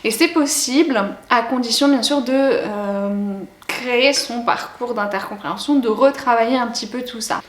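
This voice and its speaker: High-voiced woman explaining in an echoey room. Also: moderate pace. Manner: didactic, addresses viewers directly. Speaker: language teaching presenter. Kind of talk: explanatory educational video talk. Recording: echoey, roomy sound.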